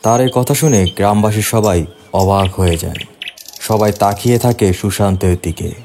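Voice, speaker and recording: A man's voice: fairly low pitch, moderate pace, dark tone, slight background noise. A man reading scripted narration for a horror story.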